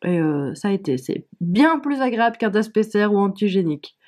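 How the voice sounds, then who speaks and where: A woman's voice: medium pitch, big pitch swings, fairly quick, darkish tone. A young woman with a stuffy cold, chatting casually to camera about her reading, with frequent fillers. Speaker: book vlogger. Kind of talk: casual vlog chat to camera.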